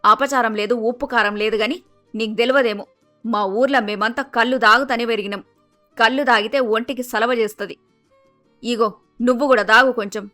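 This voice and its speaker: A woman's voice: fairly high pitch, fairly even intonation, moderate pace, sharp tone, faint music behind. A woman voicing a blunt, bossy middle-aged village mother-in-law with an emphatic Telangana Telugu accent, in a cartoon story. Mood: brisk, matter-of-fact. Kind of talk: acted dialogue in animated moral story.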